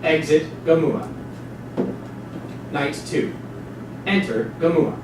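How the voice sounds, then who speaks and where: A man narrating stage directions at a staged play reading in a measured, announcing delivery. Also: fairly high pitch. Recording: echoey hall from far off, noisy.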